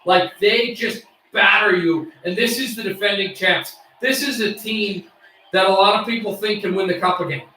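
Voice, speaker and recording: Man, high bright voice, excitable hobby play-by-play, voice fraying, roomy off-mic sound. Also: moderate pace, distant mic, slight background noise. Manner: excitable, bursts into shouts on shots. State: losing his voice. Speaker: play-by-play streamer. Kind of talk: amateur play-by-play commentary.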